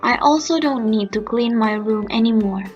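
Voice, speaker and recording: A woman's voice: on the high side, moderate pace, natural voice. A woman voicing a lazy, envious young female fairy in a storybook tale. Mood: envious, eager. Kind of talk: storybook character voice. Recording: muffled narrow-band audio under music.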